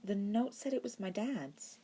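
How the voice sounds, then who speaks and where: A woman reading the part of an eager young boy in an audiobook, earnest and expressive. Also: medium pitch, a little slow, breathy edge, voice pushed up. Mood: quietly hopeful.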